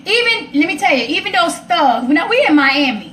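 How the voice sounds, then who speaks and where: Woman, American accent, very high pitch, emphatic casual chat, repetitive for stress, faint background noise. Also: big pitch swings, brisk, pushed and bright, echoey room. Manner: emphatic, repeats phrases for stress.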